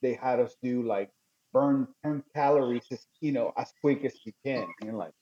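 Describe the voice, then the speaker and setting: Husky joking podcast co-host, man. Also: medium pitch, moderate pace, dark tone. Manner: joking, rambling, lots of "like" and restarts. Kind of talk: casual podcast chat. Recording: dull lo-fi mic sound.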